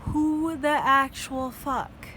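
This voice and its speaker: Young woman vlogger, fairly high voice, slow and rambling, profane, laughs often; faint vehicle noise behind. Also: steady tone, fairly bright tone. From United States. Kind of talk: casual video diary storytelling.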